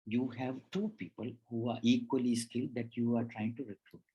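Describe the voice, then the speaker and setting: A man's voice: mid-range voice, fairly even intonation, a little fast, breathy edge, dull audio. A man who learned English as a third language, presenting on job interviews in a conversational way with frequent restarts. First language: not English. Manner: conversational, restarts often, acts out quoted dialogue.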